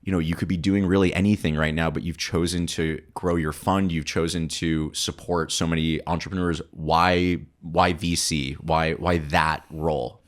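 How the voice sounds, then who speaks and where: A man's voice: extremely low pitch, little pitch movement, normal speed, hardly any pauses. A man speaking conversationally as the host of a podcast interview.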